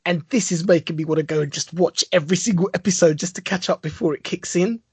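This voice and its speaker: A man's voice: sits high, quick pace, breathy edge, no echo. A man co-hosting a podcast in casual chat, enthusiastic and rambling, with some teasing. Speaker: podcast co-host.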